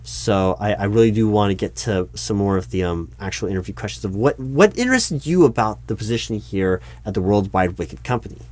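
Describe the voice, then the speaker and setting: A man playing a polite, awkward corporate recruiting manager in a one-sided interview roleplay, speaking out loud with a hesitant, stammering, formal manner. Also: lowish voice, brisk, hardly any pauses, natural voice. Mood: politely businesslike. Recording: steady background noise, keyboard typing. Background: computer keyboard typing.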